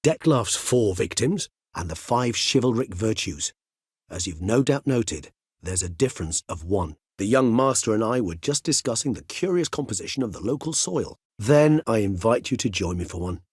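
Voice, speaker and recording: An erudite, world-weary vampire gentleman from a fantasy video game. His voice is low and very clean, recorded close and dry. He speaks formally and eloquently, weighing his words with reflective, gentle gravity. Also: animated, husky, dry recording. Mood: wry, genial. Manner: formal, eloquent, measured with thoughtful pauses. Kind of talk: video game character dialogue.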